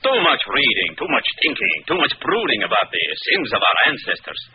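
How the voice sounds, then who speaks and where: Very husky hearty cousin. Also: male voice, mid-range voice, wildly swooping pitch, a little fast, heavily acted. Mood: dismissive. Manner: jovial, confident. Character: hearty, down-to-earth nobleman's cousin, breezily dismissive. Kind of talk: 1940s radio acting. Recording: muffled old radio broadcast.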